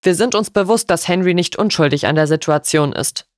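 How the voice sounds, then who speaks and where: A woman voicing a stern, stony older lord in an audiobook, formal, measured and sharp. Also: low-pitched, fairly even intonation, dry recording, clean studio sound, lightly acted.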